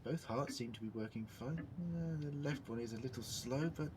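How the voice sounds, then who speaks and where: A man's voice: mid-range voice, normal speed, background noise, close to an everyday voice. A man playing an eccentric, ancient alien time traveller in an audio drama, theatrical and self-questioning, shifting between muttering and declaiming. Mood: puzzled, muttering. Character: eccentric thousand-year-old male alien time traveller, muttering, indignant.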